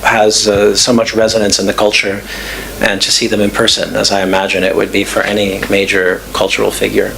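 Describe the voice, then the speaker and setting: A man giving thoughtful, measured answers at a talk's question session, pausing with fillers and restarts. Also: fairly low pitch, level tone, vocal fry, fairly bright tone, a little hiss or hum, low-quality recording. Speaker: guest speaker. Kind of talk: lecture Q&A answers. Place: club event room.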